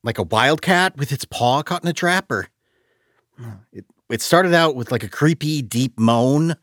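Man voicing an anxious, husky, higher-pitched young frontiersman. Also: very animated, normal speed, dry recording, studio quality, heavily acted. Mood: uneasy, groping. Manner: hesitant, anxious.

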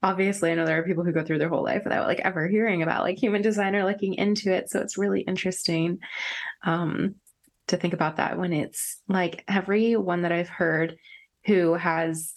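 A woman's voice: lowish voice, steady tone, fairly quick. Chatty woman podcast host. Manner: casual, lots of "like", curious. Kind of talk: podcast interview.

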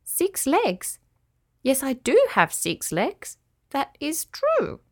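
A woman plays a tiny young boy cricket in a storybook, earnest and easily discouraged. The little voice sits very high and swings up and down with wishful, plaintive eagerness. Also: wildly swooping pitch, slow, full character voice. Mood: disappointed. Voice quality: little, childlike voice. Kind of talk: children's storybook character voice.

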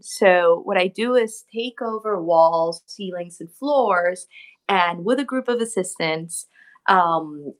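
Woman, reflective interview guest, mid voice. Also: medium pitch. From Colombia. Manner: thoughtful, explanatory, frequent ums. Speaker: guest artist. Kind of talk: interview answers.

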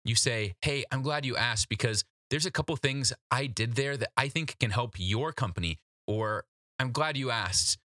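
A man's voice: mid-range voice, moderate pace, bright, forceful tone, no echo, clean studio sound. A man hosting an instructional solo podcast, coaching the listener in a direct, practical, conversational way. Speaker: podcast host giving job interview advice.

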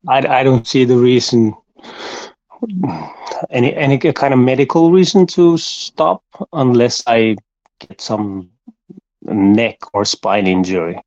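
A man in his forties, a guest on a podcast, speaking calmly and plainly, repeating words as he thinks. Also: medium pitch, slow, long pauses, no echo, dull audio. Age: 40s. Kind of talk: casual chat.

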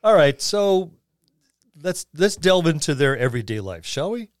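A man's voice: on the high side, very animated, moderate pace, no room sound, studio quality. A man co-hosting a podcast, talking casually about tabletop gaming. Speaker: podcast co-host.

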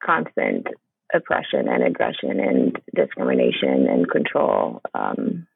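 A woman's voice: very deep voice, wildly swooping pitch, unhurried, creaky, slightly rough, dry recording. A woman from Palestine, a guest in an interview, speaking hesitantly with many fillers and restarts. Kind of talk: conversational interview. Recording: tinny phone-like line.

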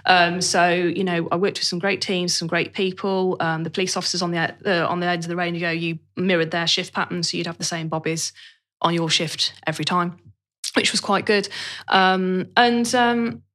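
Candid British woman, lower-pitched voice. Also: fairly even intonation, normal speed, a bit bright. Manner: candid, colloquial, self-deprecating. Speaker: interview guest, business owner. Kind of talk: conversational personal career story.

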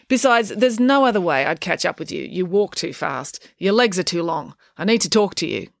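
A woman's voice: fairly low pitch, expressive, moderate pace, gravelly, dry recording, clean studio sound. A woman voicing a fierce, bossy schoolgirl in a children's storybook, with a blunt, sarcastic, commanding manner. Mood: bossy, scornful. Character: bossy, scornful, fierce school-age girl.

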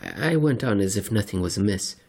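A man's voice: fairly low pitch, expressive, brisk, vocal fry, darkish tone, breathy edge. A man hosting a podcast, reading in a wry, dramatic way that mixes theatrical comic narration with casual commentary. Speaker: podcast host and reader.

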